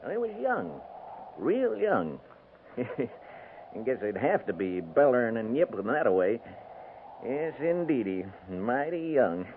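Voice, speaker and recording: Crusty frontier settler: rustic, talkative, swooping pitch, old narrow-band radio western sound. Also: male voice, sing-song, slow pace. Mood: rambling, sly. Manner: rustic, garrulous, emphatic drawling talk. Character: cantankerous, folksy frontier settler man. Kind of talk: 1950s radio drama acting. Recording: narrow-band 1950s radio recording, faint noise.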